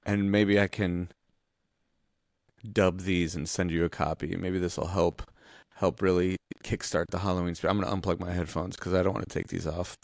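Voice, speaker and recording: Male co-host, low register, dry recording, blunt and informal conversational tone. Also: level tone, moderate pace, vocal fry, a little mellow, dry recording. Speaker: podcast co-host. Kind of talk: casual chat.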